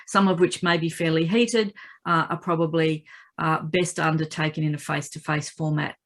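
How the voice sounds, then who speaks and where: An Australian woman, croaky with a cold, giving an informal, upbeat update as a local councillor on a video call. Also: lowish voice. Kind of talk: informal spoken update at a community video meeting.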